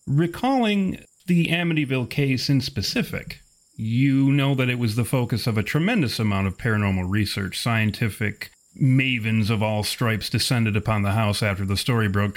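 A man's voice: fairly low pitch, normal speed. A man narrating a tabletop role-playing game as game master.

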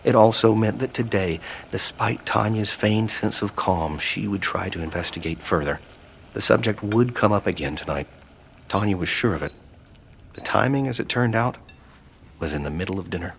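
A man narrating a supernatural horror audio drama in a measured, deliberate scripted style. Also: lowish voice, gravelly, slight background noise. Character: male third-person narrator of a supernatural horror story.

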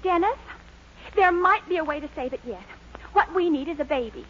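An actress playing an excitable, resourceful young daughter in a 1940s radio sitcom. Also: female voice, extremely high pitch, brisk. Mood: scheming. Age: young adult. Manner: eager, exclamatory. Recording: muffled, noisy old radio recording, audience.

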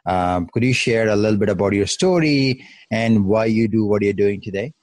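A man hosting an interview podcast, polite and halting with many fillers. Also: on the low side, darkish tone, dry recording. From Canada. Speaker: podcast host.

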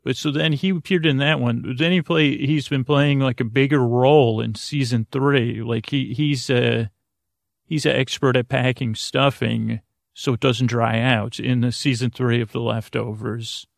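Creaky, rambling man hosting a sleep podcast. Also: medium pitch, steady tone, no echo, clean studio sound. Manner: hesitant, halting, trails off and loops back. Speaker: host of a bedtime sleep podcast. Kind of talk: rambling, meandering bedtime monologue.

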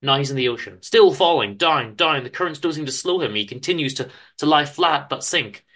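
A man giving a dramatic literary reading of a story, with theatrical, deliberate emphasis and pauses. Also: a little high, lively intonation, bright, forceful tone. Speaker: author reading own story aloud.

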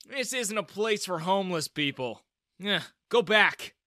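Man playing sneering security guard, forceful voice. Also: high-pitched, lively intonation, a little slow, no room sound, clean studio sound, pitched above the performer's own voice, exaggerated voice.

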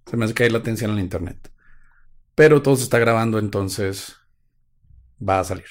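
Wry low-voiced co-host. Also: male voice, fairly quick. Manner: wry, jokey asides, slightly dramatic in the telling. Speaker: podcast co-host. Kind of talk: casual live-stream chat and true-crime storytelling.

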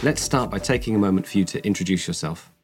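A man's voice: low voice, fast, faint music behind. A man hosting a corporate podcast, reading his introductions and questions in a polished, scripted way. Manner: polished, read-from-script delivery. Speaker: podcast host.

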